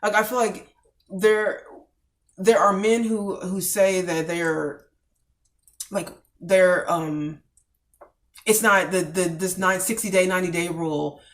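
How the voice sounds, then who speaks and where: A woman's voice: fairly low pitch, steady tone, slow pace, pauses often, distant mic. A woman in her forties co-hosting a casual chat, chatty and agreeable, often chiming in to agree. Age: 40s. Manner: chatty, agreeing, says "y'all". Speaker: podcast co-host.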